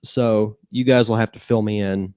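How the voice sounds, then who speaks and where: A man co-hosting a podcast, calmly asking his co-hosts about gaming news. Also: lowish voice, moderate pace, clean tone, no echo. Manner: relaxed, asks questions. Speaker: podcast co-host. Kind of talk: casual chat, leading the topic.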